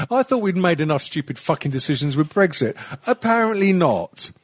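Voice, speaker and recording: A man's voice: fairly high pitch, sing-song, moderate pace, no echo, studio quality. A British man hosting a podcast, losing his voice, rambling and swearing with frequent laughter. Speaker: podcast host. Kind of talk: casual profane chat.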